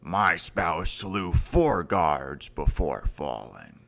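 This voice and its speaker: An actor playing a gruff, hearty older warrior in a fantasy audio drama, with boisterous, grandiose old-fashioned talk. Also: male voice, low-pitched, very animated, very slow, fairly bright tone, full character voice. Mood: somber grieving. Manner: boisterous, old-fashioned grandiose phrasing, calls others laddie. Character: gruff, hearty older warrior rebel, man, boisterous yet secretly grieving.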